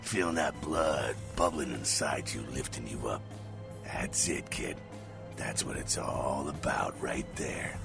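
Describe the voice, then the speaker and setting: An actor playing a brash, streetwise vampire mentor in a video game, gravelly, slangy and swaggering, with a rough jovial streak. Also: male voice, a bass voice, slow, exaggerated voice. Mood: exultant, hushed. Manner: slangy, folksy, swaggering, swears. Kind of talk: video game character acting. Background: music.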